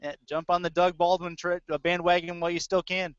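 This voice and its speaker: A man with a slightly high voice works as a football play-by-play announcer. He describes the action in short, clipped phrases. The sound is dry and somewhat dull, like a lo-fi webcast. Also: little pitch movement, a little fast, smooth, dry recording. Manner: clipped running description of plays. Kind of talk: live American football commentary.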